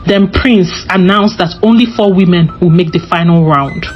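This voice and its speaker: Female storyteller, slightly low voice, scripted folktale narration, a bit dull in sound over music. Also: normal speed.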